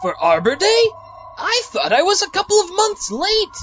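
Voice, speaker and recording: A woman's voice: very high-pitched, huge pitch swings, normal speed, fairly bright tone, lo-fi sound, faint music behind, exaggerated voice. A woman playing an eccentric, clueless, cheerfully oblivious doctor with exaggerated, theatrical delivery in a comic audio drama.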